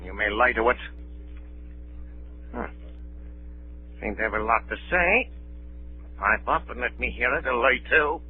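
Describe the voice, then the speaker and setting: An actor playing a wily, bullying, folksy old pirate leader in 1930s radio drama, theatrical, shifting between menace and coaxing. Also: male voice, medium pitch, expressive, unhurried, long pauses, natural voice. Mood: blustering menace. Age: older. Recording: scratchy 1930s radio broadcast, narrow band, music.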